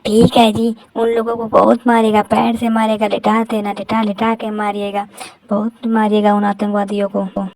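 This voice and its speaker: A woman's voice: mid-range voice, completely flat delivery, normal speed, a little mellow, no room sound, natural voice, low-quality recording. A woman voicing a concerned, supportive soldier's wife in a cartoon story, speaking plainly and earnestly. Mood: encouraging. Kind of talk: amateur Hindi cartoon story dialogue.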